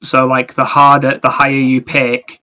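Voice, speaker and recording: A man's voice: mid-range voice, monotone, moderate pace, bright, forceful tone. A man chatting casually about video games as a podcast host, in a rambling, anecdotal way.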